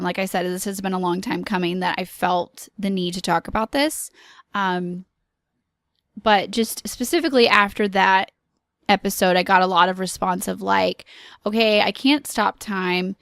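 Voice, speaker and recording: Woman podcast host, mid pitch, unhurried, sincere and encouraging, dry close mic. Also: creaky, fairly bright tone, no room sound. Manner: earnest, encouraging, slow with pauses and filler ums. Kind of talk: solo podcast monologue.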